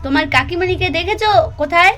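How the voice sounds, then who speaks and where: Woman acting a very high-pitched worried villager. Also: fast, very noisy. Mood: anxious. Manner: anxious, urgent questioning. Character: worried village relatives searching for missing sister-in-law. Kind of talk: Bengali puppet cartoon voice acting.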